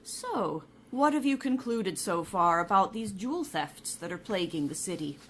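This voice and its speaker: Medium-pitched woman playing a level-headed secretary. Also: expressive, moderate pace, close to an everyday voice. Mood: polite curiosity. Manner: polite, inquiring. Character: loyal, level-headed female secretary and friend. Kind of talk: radio mystery acting.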